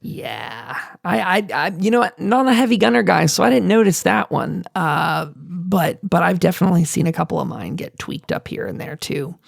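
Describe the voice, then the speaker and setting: A man with a heavily creaky, gravelly voice hosts a casual panel stream. He reads notes aloud and drifts into offhand, rambling comments. The sound is close and dry. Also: high-pitched, lively intonation, moderate pace, few pauses, no echo. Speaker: podcast host and panel moderator. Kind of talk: casual livestream roundtable, reading and commenting on notes.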